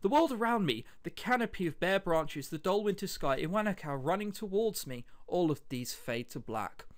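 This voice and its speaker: A man with a slightly high, very lively voice reads a visual novel aloud for a let's play. He drops chatty, wry remarks between the lines and trips over the odd word. Music plays behind him. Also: moderate pace. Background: background music.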